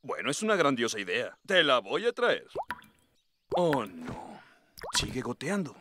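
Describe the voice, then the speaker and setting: A man's voice: medium pitch, wildly swooping pitch, unhurried, pushed and bright, a little hiss or hum, full character voice. A man voicing a friendly father turtle in a cartoon dub. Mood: dismayed. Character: friendly, good-natured handy father turtle.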